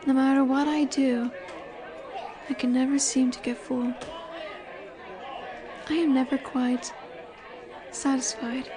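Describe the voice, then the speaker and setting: A woman's voice: sits high, flat delivery. A woman playing a confident, flirtatious werewolf alpha in a roleplay audio drama, teasing and seductive in her delivery. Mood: coy, teasing. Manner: teasing, seductive, slow and assured. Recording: bar chatter behind the voice. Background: bar ambience with crowd murmur.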